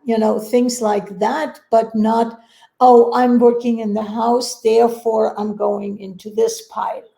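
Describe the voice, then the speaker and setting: A woman's voice: on the high side, flat delivery, unhurried, creaky, warm tone. A woman in a reflective casual conversation, thinking aloud hesitantly and rephrasing as she goes.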